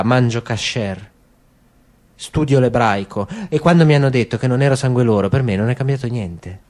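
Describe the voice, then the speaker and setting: A tense, defensive young soldier, as a man reads him in a radio play. He declaims his words stiffly, as if delivering a memorised monologue, in a mid-pitched voice. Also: darkish tone, close to an everyday voice. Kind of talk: radio drama reading.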